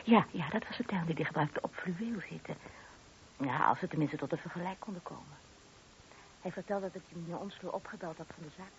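A woman's voice: fairly low pitch, fairly quick, a little hiss or hum, close to an everyday voice. An actress playing a married woman who is a discreet but gossipy witness, hesitant, confiding and a little defensive in a radio play. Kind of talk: Dutch radio drama dialogue. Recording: muffled lo-fi old radio recording.

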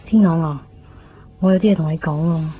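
A woman's voice: deep voice, fairly quick, a little hiss or hum, close to an everyday voice. An actress playing a reserved but restless young woman in a 1990s radio drama, with a Hong Kong Cantonese accent. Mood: pleading. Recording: dull 1990s radio recording.

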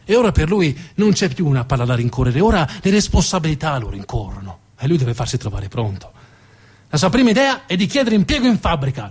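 A man delivering a dramatic narrative theatre monologue with emphatic, deliberate phrasing. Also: high-pitched, a little mellow, husky. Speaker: stage storyteller, actor.

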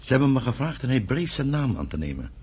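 Man acting a fiery, animated pioneer on noisy recording. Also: medium pitch, brisk, lightly acted. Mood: earnest, teasing. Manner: commanding, emphatic, declamatory when roused. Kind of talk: radio drama acting. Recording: noisy old radio play recording.

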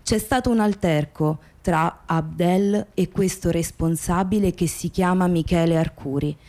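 A woman narrating a scripted radio theatre documentary in a grave, deliberate manner. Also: fairly low pitch, warm tone.

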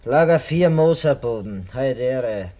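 An actor playing a cheeky, back-talking miner with a Viennese accent and a flippant manner in a 1950s radio drama. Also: male voice, medium pitch, lively intonation, unhurried, lightly acted. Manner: flippant, insolent. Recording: noisy old 1950s radio recording.

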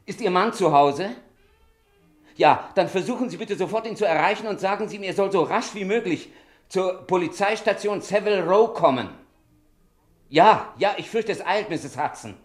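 An actor playing a haughty crime boss in a German radio drama, mock-courteous and quick to protest. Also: male voice, high voice, lively intonation, soft background music. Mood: urgent. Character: indignant, haughty male crime boss under arrest.

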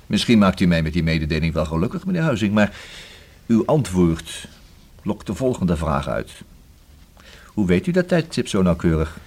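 An actor in a Dutch radio play playing a persistent, dryly ironic police inspector, with a husky voice and formal, measured manner. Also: male voice, deep voice, very animated, darkish tone, heavily acted. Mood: dryly ironic, insistent.

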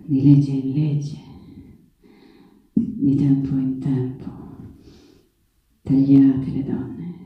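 Defiant doomed young heroine, slow poetic stage declamation, incantatory, heard from far off over live guitar. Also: female voice, very deep voice, heavily acted. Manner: incantatory, repetitive, declaiming in short broken phrases. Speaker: stage actress. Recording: distant, echoey hall, dull sound.

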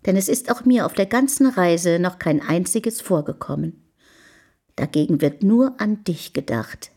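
An actress in a German radio play playing a proud, self-assured middle-aged wife of a poet, speaking in formal, measured period diction. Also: female voice, lowish voice, animated, warm tone, husky, full character voice. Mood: tender, wistful.

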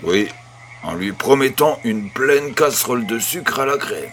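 A man playing a kindly grandfather who tells a bedtime tale in a measured, gentle, husky voice. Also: medium pitch, animated, a little hiss or hum. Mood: fond. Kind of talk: scripted audio storytelling.

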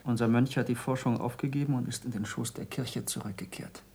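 An actor playing an earnest, intense former pupil of a scientist in a German radio play, speaking tersely and formally, with stern gravity. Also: male voice, mid-range voice, fairly even intonation, fairly quick, gravelly, a little mellow, slight background noise, natural voice. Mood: curt, reproachful.

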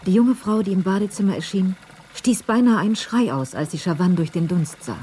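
A woman's voice: medium pitch, fairly quick, mellow, a little hiss or hum. A woman reading scripted narration for a German radio crime drama. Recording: faint music under the voice.

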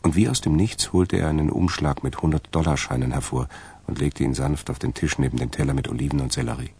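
Crime drama narrator: a man with a very deep, creaky, gravelly voice, some music underneath. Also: sing-song, fast, background noise.

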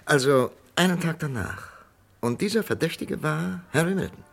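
An actor playing a persistent, probing doctor in a German radio crime drama, with an insistent, questioning manner. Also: male voice, on the high side, expressive, moderate pace, creaky. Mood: probing, controlled. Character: persistent, probing male doctor challenging a death sentence. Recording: faintly noisy vintage radio drama recording.

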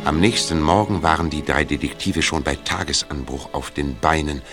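A man playing the storyteller of a detective audio drama, narrating from a script in a measured, knowing way. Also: very deep voice, music in the background. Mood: measured, suspenseful.

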